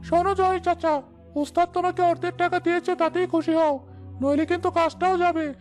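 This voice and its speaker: A woman's voice: very high-pitched, flat delivery, fast talker, a little mellow, soft background music. A woman voicing a sly, cheeky young male labourer in a cartoon, mocking and ingratiating. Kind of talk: Bangla cartoon story dialogue.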